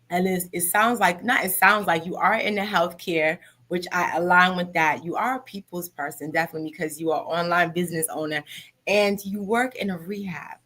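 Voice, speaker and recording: A woman's voice: fairly low pitch, sharp tone. A woman in her thirties hosting a live talk show in an upbeat, motivational way, often adding "okay?". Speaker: host.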